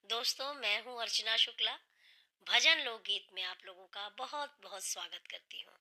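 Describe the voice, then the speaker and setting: Woman, hoarse sore throat, devotional host, patient explainer, high-ish voice, very bright tone. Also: moderate pace. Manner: earnest, repeats and rephrases each meaning patiently. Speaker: devotional singer and channel host. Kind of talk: explaining a hymn line by line, with sung verses.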